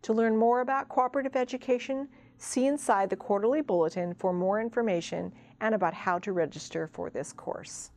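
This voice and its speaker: A woman's voice: fairly high pitch, fairly quick, hardly any pauses, darkish tone. A woman presenting a college program segment in scripted, informational narration.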